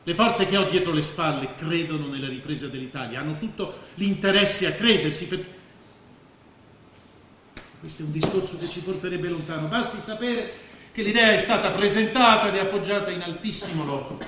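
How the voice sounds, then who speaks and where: A male stage actor playing a grandiose, scheming businessman and former artist, declaiming with weighted pauses and cynical persuasion. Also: high-pitched, natural voice. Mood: grandiose, persuasive. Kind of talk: theatrical stage drama acting. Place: indoor stage of a theatre. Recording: very echoey theatre stage, faint noise.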